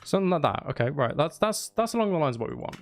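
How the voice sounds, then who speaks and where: A man's voice: a little high, very animated, racing, gravelly. A man chatting casually on a music-making livestream, thinking aloud in a hesitant, self-interrupting and apologetic way. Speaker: streamer making music live. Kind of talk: casual livestream chat while recording drums. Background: drum kit and music.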